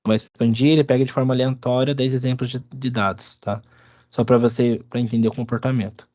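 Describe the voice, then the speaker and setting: A man with a bit of a cold, giving a halting, filler-heavy live coding lesson at his computer. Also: medium pitch, fairly even intonation, a little mellow, dry recording. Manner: halting, many restarts and fillers. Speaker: instructor. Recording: dry, dull lo-fi sound.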